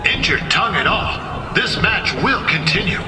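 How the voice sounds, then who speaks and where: Excitable, swooping wrestling announcer. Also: male voice, high voice, moderate pace, distant mic. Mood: excited, exasperated. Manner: folksy, comic exclamations. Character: excitable, exasperated male wrestling commentator. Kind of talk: wrestling play-by-play commentary. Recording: echoey arena, loud crowd and music.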